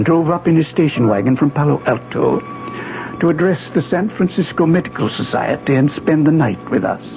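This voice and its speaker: An actor playing a grave, wistful retired professor in a 1970s radio drama, speaking with formal, measured diction. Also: male voice, a little high, creaky. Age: older. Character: grave, wistful retired physics professor, older married man. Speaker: narrator and lead actor. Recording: dull 1970s radio recording, faint music.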